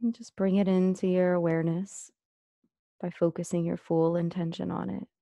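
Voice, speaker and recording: A woman leading a guided meditation as an instructor, speaking gently and unhurriedly with long pauses. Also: on the low side, little pitch movement, vocal fry, dry recording.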